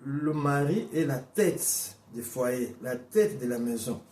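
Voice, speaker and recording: A man preaching a sermon in as a Portuguese first-language speaker, emphatic, repeating and restarting phrases, swinging between slow stressed words and quick bursts. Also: mid-range voice, animated, dark tone, reverberant. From Portuguese-speaking Africa.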